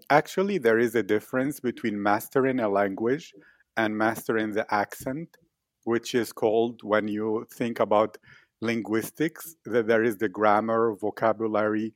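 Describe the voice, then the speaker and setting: A man's voice: mid-range voice, no echo, clean studio sound. A man hosting an interview, asking long, rambling, inquisitive questions. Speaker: host, interviewer. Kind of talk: podcast interview.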